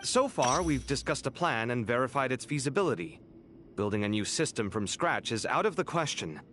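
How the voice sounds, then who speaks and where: A man's voice: medium pitch, expressive, moderate pace, background noise, close to an everyday voice. A man playing an eager, confident young researcher in a video game, articulate and enthusiastic.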